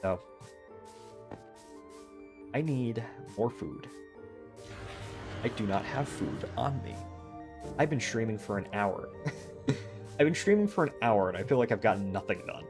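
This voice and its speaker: A man's voice: on the low side, big pitch swings, a little slow, pauses often, over music. An American man streaming a game, chatting casually and thinking aloud in long digressions. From United States. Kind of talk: casual chat while gaming.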